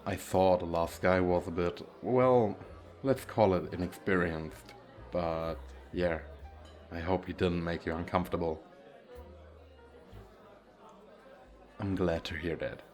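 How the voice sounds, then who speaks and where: A man playing a gentle, awkward single dad who flirts shyly and hesitantly in an ASMR roleplay. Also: deep voice, steady tone, a little slow. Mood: polite, hesitant. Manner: hesitant, self-deprecating, soft chuckles.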